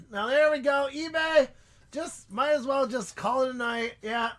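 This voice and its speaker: A man hosting a live stream in casual chat, excitable and prone to loud shouts and laughter, and losing his voice. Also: very high-pitched, measured pace. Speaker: livestream host.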